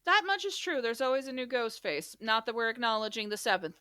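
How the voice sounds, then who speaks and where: A woman's voice: fairly high pitch, very animated, fairly quick, sharp tone, smooth. A woman co-hosting a podcast in casual, playful, teasing banter. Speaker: podcast co-host.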